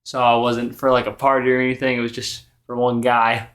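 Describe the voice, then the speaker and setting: A man's voice: mid-range voice, monotone, fairly quick, a bit bright, lightly acted. A man playing a wounded, dismissive bard in improvised tabletop roleplay, in a casual rambling way. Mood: downplaying. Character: defensive wounded male bard, brushing off trauma.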